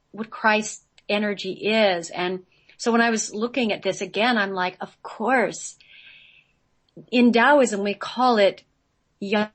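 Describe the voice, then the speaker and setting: A woman guest on a radio show explaining spiritual ideas conversationally, in an earnest, halting way and sometimes spelling out words. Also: medium pitch, fairly bright tone.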